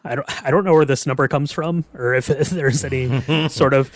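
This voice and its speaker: A man's voice: mid-range voice, normal speed, dry recording. A man hosting a podcast, chatting casually and explaining things in a tentative, hedging way. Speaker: podcast host. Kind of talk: casual chat about game lore.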